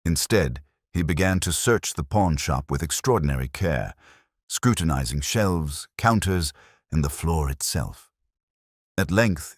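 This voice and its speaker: A man reading a classic detective tale as narrator, in a formal, measured, literary manner. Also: deep voice, dry recording, studio quality. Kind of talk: scripted audiobook narration of a classic detective story.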